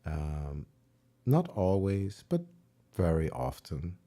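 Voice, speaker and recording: A man whose first language is German, teaching piano on a livestream, relaxed and pausing to search for words. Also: low-pitched. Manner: relaxed, searching for words, sings and counts along. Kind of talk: livestream music lesson. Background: piano playing.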